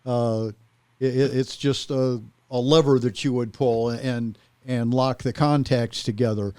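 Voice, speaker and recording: A man with a mid-pitched voice in a dry, close sound recalls old times as a panel guest. He explains casually and haltingly, doubling back on words. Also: fairly even intonation, darkish tone, smooth, no room sound. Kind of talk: casual reminiscing.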